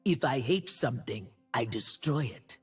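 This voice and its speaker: An actress voicing a fierce, confident warrior priestess who teaches harshly in bold, commanding video game lines. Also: female voice, extremely low pitch, animated, normal speed, darkish tone, husky, faint music behind, heavily acted. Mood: stern, declarative.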